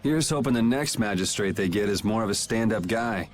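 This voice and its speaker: An actor playing a laid-back, sardonic young rebel in a video game, speaking casually and dryly. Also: male voice, on the low side, lively intonation, brisk, soft background music, natural voice. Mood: wry, casual. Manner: casual, wry, dry.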